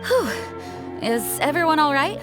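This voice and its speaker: Formal, high-voiced young knight commander. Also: female voice, sing-song, a little slow, music in the background. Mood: concerned. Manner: formal, polite, composed. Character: earnest, courteous young female knight commander. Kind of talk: video game cutscene acting.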